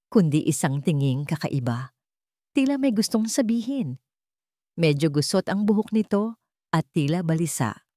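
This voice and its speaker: A woman's voice: fairly low pitch, dark tone, no room sound, studio quality. A woman reading a scripted dramatic story as its narrator.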